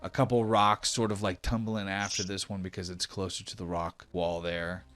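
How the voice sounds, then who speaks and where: A man with a fairly low voice runs a tabletop role-playing game. He talks through rules and scenes in an unscripted, conversational, explanatory manner. Faint music plays behind him. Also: flat delivery, normal speed, a bit bright.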